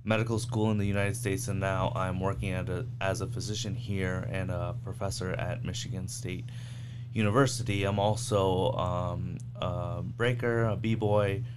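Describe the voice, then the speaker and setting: A man in his thirties from Bangladesh, chatting easily as a podcast guest. His voice sits fairly low, and his talk is loose and slangy, full of "like" and "um". A steady hum and noise run under the recording. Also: normal speed. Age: 30s. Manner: relaxed, slangy, many "like"s and "um"s. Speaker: guest, physician. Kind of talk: casual podcast conversation.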